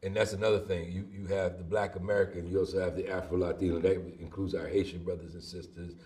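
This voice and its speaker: Fast-talking emphatic man. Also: low-pitched, level tone, crowd noise. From Boston area, Cuban family. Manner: emphatic, repeats points for effect. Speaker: guest. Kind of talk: casual panel conversation.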